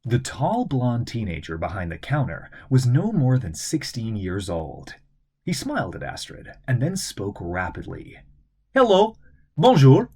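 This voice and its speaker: A man narrates a children's adventure novel in an expressive, theatrical way. His husky voice moves with a hugely varied pitch. The delivery is scripted storytelling. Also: unhurried. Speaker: audiobook narrator, voice actor.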